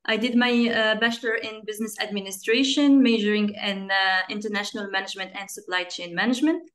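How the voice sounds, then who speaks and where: A young woman from Tunisia introduces herself on a video call, halting and full of uhs. Her voice is bright and sharp. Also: medium pitch. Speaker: master's student.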